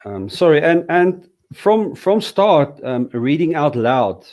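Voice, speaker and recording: A man from South Africa speaks haltingly, leaning on fillers and restarting his sentences. He sounds like a teacher explaining his course over a video call. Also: a little high, big pitch swings, a little mellow. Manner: hesitant, many fillers and restarts. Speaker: Hebrew teacher. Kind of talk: casual interview conversation.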